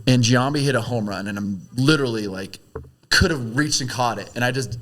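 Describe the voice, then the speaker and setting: A man's voice: medium pitch, a little fast, a bit bright, faint music behind. A man on a podcast panel, chatting and telling stories in a blunt, informal way. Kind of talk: casual storytelling and chat.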